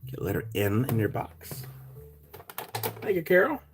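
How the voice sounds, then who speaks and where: A man with a raspy voice, chatting casually as he sells rocks on a live stream and thanks buyers by name. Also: medium pitch, wildly swooping pitch, measured pace, over music. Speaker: live stream rock seller. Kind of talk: casual live sale chat.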